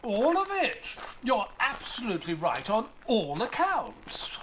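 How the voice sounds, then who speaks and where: A man's voice: extremely high pitch, wildly swooping pitch, slow, fairly bright tone, a little hiss or hum, full character voice. An actor playing a polite, earnest male military doctor who also narrates the story of an audio drama. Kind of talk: first-person narration and dialogue in an audio drama.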